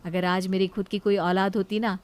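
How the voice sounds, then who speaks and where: A woman voicing a caring, anxious elder sister-in-law in a moral story, gentle and pleading. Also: medium pitch, little pitch movement, normal speed, clean tone, close to an everyday voice. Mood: earnest, protective. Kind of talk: Hindi moral story character dialogue.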